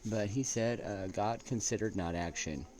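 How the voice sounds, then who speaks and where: A man hosting a casual story-time vlog, rambling in a laid-back, halting way and often checking in with the listener. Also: fairly low pitch. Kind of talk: rambling casual chat with read-out quotes. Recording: clearly noisy recording with ambient sound.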